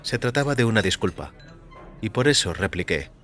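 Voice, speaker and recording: Low-voiced man narrating fiction. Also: expressive, brisk, fairly bright tone. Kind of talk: scripted first-person story narration.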